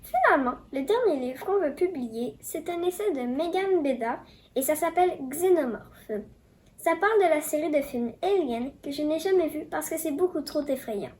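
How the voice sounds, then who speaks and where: A woman's voice: high voice, expressive, moderate pace, a little mellow. A woman with a Quebec French accent presenting upcoming books, with light joking asides. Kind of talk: casual presentation.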